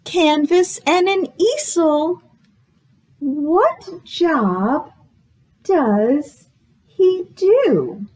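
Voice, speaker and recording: High, very slow, animated woman reading to children. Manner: exaggerated, encouraging, asks questions with pauses for guesses. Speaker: children's librarian, storytime host. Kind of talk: read-aloud storytime for young children.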